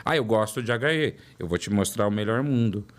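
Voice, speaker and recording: A man's voice: on the low side, animated, fairly quick. A man in his forties with a São Paulo accent, a guest telling his career story in an interview and repeating words for emphasis. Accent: São Paulo Portuguese. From São Paulo, Brazil. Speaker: guest, product development director. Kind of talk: interview conversation.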